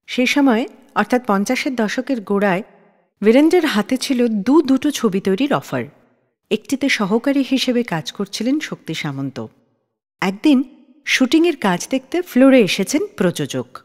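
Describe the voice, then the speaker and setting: A woman's voice: a little high, lively intonation, moderate pace, darkish tone. A woman telling a scripted story as a radio show host. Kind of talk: scripted radio storytelling narration about film history. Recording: long reverb on the voice.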